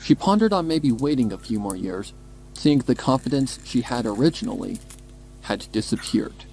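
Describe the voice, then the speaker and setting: A man narrating a dramatic scripted story in a measured, suspenseful manner. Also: mid-range voice, animated, darkish tone, low-quality recording. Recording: music behind the voice. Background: music.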